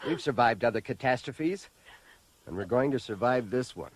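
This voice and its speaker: Steadfast calming man, husky, dry sound, insistent, up and down, radio drama. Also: mid-range voice, moderate pace, no room sound. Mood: reassuring, determined. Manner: reassuring, insistent. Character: steadfast man soothing someone amid catastrophe.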